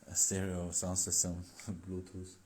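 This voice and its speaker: Deep-voiced man chatting in an echoey room. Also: monotone, darkish tone. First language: not English. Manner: halting, filler-heavy, laughs often. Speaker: Daoist teacher. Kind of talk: casual livestream chat. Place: indoor room with tea table. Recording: echoey room, faint noise.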